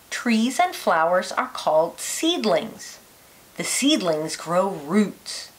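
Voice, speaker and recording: A woman reading a children's educational picture book aloud like a teacher, phrasing it carefully and deliberately for young listeners. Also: mid-range voice.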